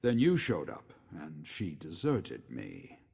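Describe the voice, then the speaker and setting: An actor playing a weary, dutiful middle-aged legion commander in a video game, speaking in a measured, formal, authoritative way. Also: male voice, very deep voice, huge pitch swings, creaky, darkish tone, breathy edge, full character voice. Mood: dutiful, regretful. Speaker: game character, military commander. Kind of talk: scripted video game dialogue.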